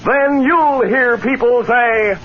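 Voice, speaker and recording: A man's voice: very high-pitched, slow. A male announcer delivering theatrical, declamatory narration in the dramatic style of 1940s and 50s radio. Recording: dull vintage radio recording. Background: music.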